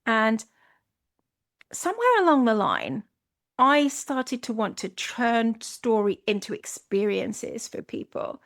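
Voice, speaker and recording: A woman's voice: on the high side, big pitch swings, takes it slowly, fairly bright tone. A Scottish woman hosting a solo podcast, speaking in an earnest, reflective way with frequent restarts. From Scotland. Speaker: podcast host.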